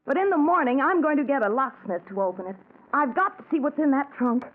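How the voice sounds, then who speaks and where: High-voiced, troubled wife, old radio. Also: female voice, animated, quick pace. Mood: determined. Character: worried, grieving young wife, fragile but stubbornly determined. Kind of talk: 1940s radio drama acting. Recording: tinny 1940s radio recording.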